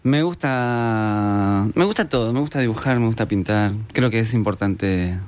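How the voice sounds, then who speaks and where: A young Argentine man with an Argentine accent, chatting in an interview as a guest painter and illustrator, relaxed and a bit rambling. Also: on the low side, moderate pace. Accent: Argentine Spanish. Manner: relaxed, rambling, self-deprecating. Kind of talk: casual interview chat.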